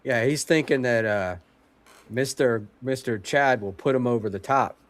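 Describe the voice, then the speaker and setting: A man with a nasal, stuffed-up voice talking on a casual podcast, his pitch right in the middle range for a man. He slips jokes in flatly and deadpan, and the sound is dry and close with no room around it. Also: moderate pace, dry recording. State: stuffy nose.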